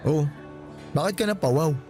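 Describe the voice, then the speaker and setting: A teasing, affectionate young student boyfriend in a radio play, voiced by a man with a fairly high voice. He jokes easily but speaks frankly when he gets serious, with soft music in the background. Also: moderate pace, lightly acted. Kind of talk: radio drama acting.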